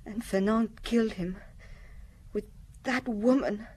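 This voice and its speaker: A woman playing a sad, quiet young refugee widow in a radio drama, speaking in a halting, reflective way. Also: medium pitch, breathy edge. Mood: sad, remembering.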